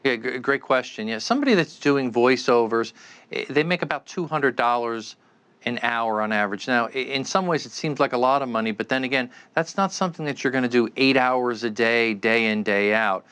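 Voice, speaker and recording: A man's voice: mid-range voice, brisk, a bit bright, smooth. A man giving unscripted expert answers in an interview, explaining things with frequent stammered repeats. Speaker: expert guest, commentator.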